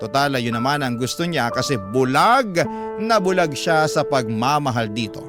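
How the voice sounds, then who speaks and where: A man's voice: mid-range voice, animated, moderate pace. A man with a Filipino accent reading dramatic scripted first-person narration as a radio-style storyteller. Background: loud music.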